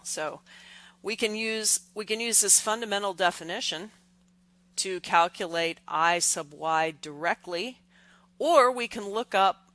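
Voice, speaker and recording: Bright-voiced woman teaching step by step. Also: mid-range voice, rough recording. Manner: deliberate, halting phrasing with repeated words. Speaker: engineering instructor. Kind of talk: step-by-step lecture explanation.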